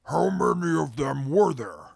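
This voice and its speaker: A stern, disdainful companion who takes charge, acted by a man. The delivery is slow, curt and deadpan, deeply creaky and rough, in a dry audio-drama recording. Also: dry recording, heavily acted. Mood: businesslike. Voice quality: very gravelly, husky. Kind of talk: comic post-apocalyptic audio drama acting.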